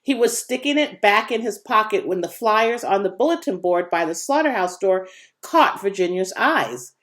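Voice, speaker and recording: Expressive woman reading a children's story aloud. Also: mid-range voice, moderate pace. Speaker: audiobook reader.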